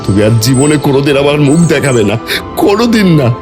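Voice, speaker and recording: Man voicing a heartbroken young brother, swooping pitch. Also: big pitch swings, fast, close to an everyday voice. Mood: hurt, accusing. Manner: emotional, pleading and vehement. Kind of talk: Bengali horror cartoon dialogue. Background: music.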